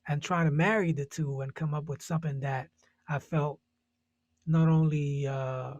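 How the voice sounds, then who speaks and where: A man's voice: fairly high pitch, steady tone, normal speed, no echo, lo-fi sound. A man from Puerto Rico, a jazz musician, talking casually and thoughtfully on a panel, searching for words with many restarts.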